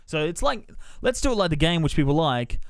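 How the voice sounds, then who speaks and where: A man's voice: a little high, very animated, brisk, dry recording, clean studio sound. A man hosting a podcast, giving a casual, sweary, colloquial review of a film. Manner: sweary, colloquial, loosely descriptive.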